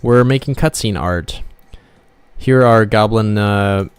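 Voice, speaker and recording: A man's voice: fairly low pitch, slow pace, clean tone, no echo. A man streaming casually as an indie game developer, laid-back, thinking aloud and muttering asides as he draws. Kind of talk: casual art livestream chat.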